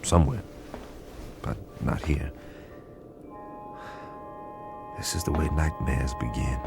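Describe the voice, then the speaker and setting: Deep, gravelly, brooding man recalling disaster. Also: moderate pace, loud music behind the voice. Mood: brooding, regretful. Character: weary, brooding male cryptographer recalling a disaster. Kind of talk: dramatic first-person narration in a radio drama.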